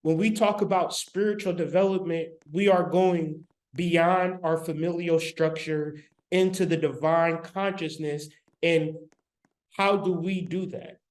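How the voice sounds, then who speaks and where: Man, high voice, spiritual teacher, live-stream lecture, emphatic, repetitive, colloquial, laptop mic. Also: steady tone, a little slow. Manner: emphatic, repetitive, colloquial, talks straight to viewers.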